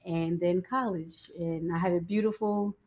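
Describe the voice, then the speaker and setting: A woman's voice: lowish voice, fairly even intonation, moderate pace, darkish tone. A woman in her thirties from Ghana, a guest on a faith podcast, talking chattily and a little nervously with frequent giggles. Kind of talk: faith-based podcast interview.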